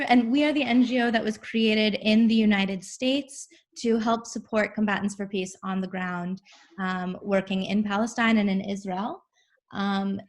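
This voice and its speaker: A woman who directs a nonprofit gives an unscripted introduction in a plain conversational tone, pausing often on "um". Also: medium pitch. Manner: frequent "um" pauses, plain conversational tone. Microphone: webinar call.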